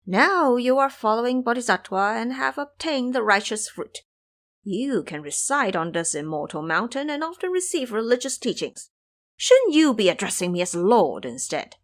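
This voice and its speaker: Woman voicing a brash, high-pitched monkey hero. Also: sing-song, moderate pace. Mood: brash, indignant. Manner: emphatic, formal storybook speech. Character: brash, excitable male monkey disciple, cheeky yet pleading.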